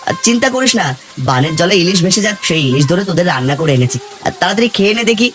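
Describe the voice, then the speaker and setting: A man's voice: sits high, sing-song, very fast, a bit bright, soft background music. A man voicing a generous, caring village fisherman and father in a cartoon fairy tale, speaking earnestly.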